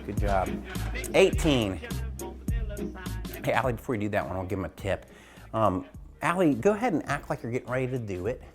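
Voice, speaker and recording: A man's voice: lowish voice, sing-song, brisk, long pauses, music in the background. A man coaching a home exercise game as a gym teacher, casual, encouraging and instructive, speaking indoors.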